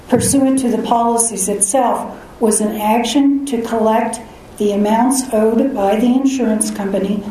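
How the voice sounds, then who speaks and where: Soft-voiced female attorney in a cavernous courtroom. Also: a little high. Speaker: attorney arguing an appeal. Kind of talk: courtroom oral argument. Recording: distant, very echoey courtroom audio, faint noise.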